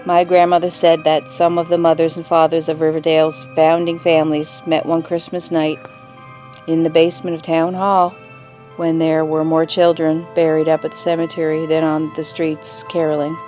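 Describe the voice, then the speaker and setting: A woman playing a grave mother who tells an old family story in a measured, wistful way in an audio drama. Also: low-pitched, monotone, dark tone, soft background music, natural voice. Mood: solemn.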